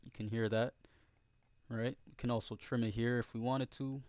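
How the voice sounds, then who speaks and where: A man narrating a software tutorial casually and conversationally, often checking in with the listener, recorded as a close voice-over. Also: medium pitch, little pitch movement, moderate pace. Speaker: tutorial host.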